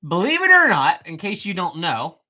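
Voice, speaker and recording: A man in his thirties talks casually on a live stream. His voice is bright and lively, swinging high and low as he teases viewers. He laughs often and keeps clearing his throat. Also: moderate pace. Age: 30s. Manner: playful and teasing, laughs often. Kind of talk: casual livestream chat reading comments.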